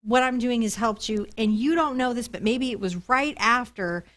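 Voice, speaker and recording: A woman's voice: fairly high pitch, moderate pace, a bit bright, slight background noise. A woman chatting casually and playfully as the host of an art tutorial livestream, thanking supporters.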